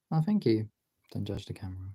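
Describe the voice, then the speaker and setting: Casual British streamer: mellow, creaky delivery, sweary, thinking out loud to chat. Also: mid-range voice, no room sound. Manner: informal, sweary, thinking aloud. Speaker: live streamer drawing and chatting with viewers.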